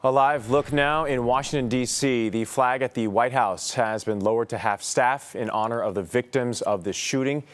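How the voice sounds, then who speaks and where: Man, TV news anchor, slightly deep voice, scripted delivery. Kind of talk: scripted broadcast news reading.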